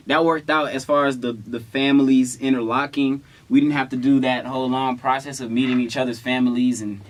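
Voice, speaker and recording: Young American man, playful casual storyteller. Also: fairly high pitch. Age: young adult. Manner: playful, slangy, animated retelling with acted-out quotes. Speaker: vlog co-host.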